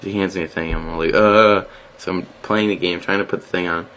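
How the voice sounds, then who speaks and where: A man's voice: deep voice, fairly quick. A man with a Boston accent, co-hosting a casual podcast and telling jokey stories. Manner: joking, animated storytelling. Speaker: podcast co-host. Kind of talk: casual chat.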